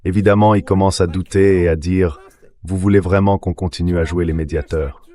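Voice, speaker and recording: A man's voice: sits low, fairly even intonation, fairly quick, mellow. A man's AI dubbing voice delivering translated political commentary as an interview guest. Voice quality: synthetic AI dubbing voice.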